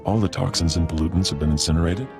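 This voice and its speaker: A man voicing a solemn settlement leader with a formal, measured manner in a video game. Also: low-pitched, creaky, over music. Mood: earnest, grateful. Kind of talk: video game dialogue acting.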